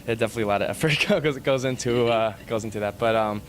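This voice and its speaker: A man's voice: medium pitch, quick pace, slight background noise. A man in his thirties, a band musician, answering interview questions in a hesitant, rambling way full of fillers. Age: 30s. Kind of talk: casual interview.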